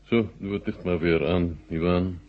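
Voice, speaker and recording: An actor playing a proud, businesslike Soviet marshal in a 1970 radio drama, speaking with measured, formal authority. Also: male voice, deep voice, fairly even intonation, slight background noise, close to an everyday voice. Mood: proud, commanding. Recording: dull vintage 1970 radio recording.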